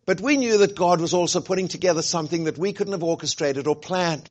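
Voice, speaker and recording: A man's voice: high-pitched, fast. A middle-aged man giving an informal, joking sermon talk as a guest speaker, with many fillers. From grew up where rugby is played. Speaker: guest speaker, college president.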